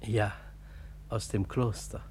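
An actor playing a humble, pious, guileless lay brother in a classical radio drama, speaking with simple, sententious earnestness. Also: male voice, lowish voice, level tone, slow pace, darkish tone, husky, exaggerated voice.

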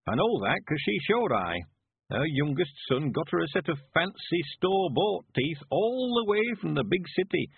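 A man's voice: a little high, creaky, breathy edge, no echo, phone-quality sound, voice pushed up, heavily acted. A man voicing a cheerful, sprightly rustic in his nineties with a rural West Country accent, speaking emphatic, folksy dialect for an audiobook. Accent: rural English West Country. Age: 90s. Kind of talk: audiobook character voice.

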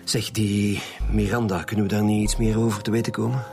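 A composed Flemish police commissioner with a fairly low voice. He is dry, measured and blunt, leading his detectives in a radio drama with music. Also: flat delivery, gravelly, a little mellow. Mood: matter-of-fact. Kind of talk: naturalistic radio drama dialogue.

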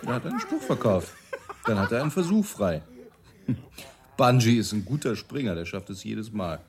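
An actor in a radio play voicing a brisk office boss who answers in clipped, matter-of-fact sentences. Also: male voice, fairly low pitch, very animated, noisy background. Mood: casual.

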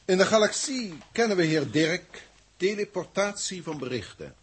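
An actor in a 1960s science-fiction radio drama playing a formal, grandiloquent alien proctor who speaks deliberately and pompously. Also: male voice, high-pitched, expressive, slight background noise. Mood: pleased, grand. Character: authoritative, grandiloquent alien proctor from a galactic federation.

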